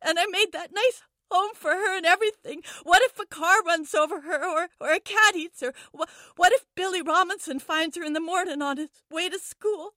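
A scared, stubborn little schoolgirl, played by a woman, in a dramatic monologue. Her voice is high and sharp, recorded close and dry. She stumbles and repeats herself, covering fear with crude bravado. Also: normal speed, few pauses, no echo. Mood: anxious. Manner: stammering, repeating herself, foul-mouthed bravado. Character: frightened, defiant young schoolgirl trapped in a car trunk. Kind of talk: dramatic first-person monologue.

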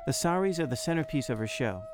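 A man narrating a scripted news feature in an even, informative way. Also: a little high, quick pace, music in the background.

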